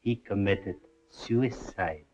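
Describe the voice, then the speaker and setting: Low-voiced, menacing 1930s film conspirator. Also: male voice, darkish tone. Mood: cold menace. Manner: formal, measured, menacing. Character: cold, calculating villainous male foreign conspirator. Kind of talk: 1930s film crime drama acting. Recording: muffled 1930s film soundtrack, faint music.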